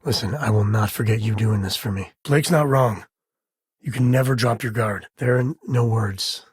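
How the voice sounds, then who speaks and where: A man playing an easygoing, wry space-faring rogue and protective father in a video game, with a husky, rough-edged voice and a casual, folksy manner. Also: lowish voice, moderate pace, dry recording, clean studio sound. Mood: warm, candid. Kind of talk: video game character voice lines.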